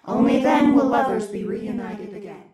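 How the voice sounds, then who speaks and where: Echoing high mystic oracle voiced by a woman. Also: moderate pace, crowd noise, lightly acted. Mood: solemn, cryptic. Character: mystic female oracle reciting a cryptic prophecy. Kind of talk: solemn recitation in audio drama. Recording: distant, echoing, loud music. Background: loud music.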